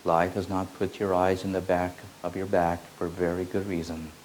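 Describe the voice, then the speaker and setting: A man giving a slow, meditative spiritual teaching, repeating his phrases in an incantatory way. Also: sits low, flat delivery, darkish tone, a little hiss or hum.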